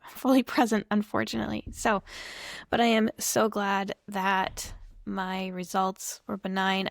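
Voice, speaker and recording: A slightly hoarse woman giving a casual tarot reading to camera, chatty and reflective, thinking aloud as she goes. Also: medium pitch, dry recording, studio quality. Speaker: tarot reader.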